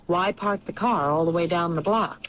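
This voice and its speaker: A woman's voice: on the low side, moderate pace, a little hiss or hum, lightly acted. An actress as a probing woman detective. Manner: probing, matter-of-fact questioning. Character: sharp, persistent woman police lieutenant. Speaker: detective lieutenant. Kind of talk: 1970s radio drama acting. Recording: dull lo-fi 1970s radio recording.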